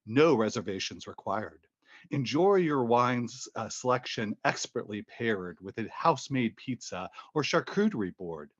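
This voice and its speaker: A man delivers a scripted sponsor read as a radio announcer. His mid-pitched voice moves up and down in a lively, promotional way. The sound is slightly muffled. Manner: promotional, measured.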